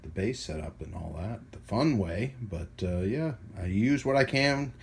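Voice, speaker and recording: A middle-aged man with a fairly low voice talks casually through a hands-on hobby vlog. He rambles in a colloquial, self-deprecating way. Some ambient noise sits behind his voice. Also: lively intonation, unhurried. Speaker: radio hobbyist making a video. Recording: clear background noise and ambience.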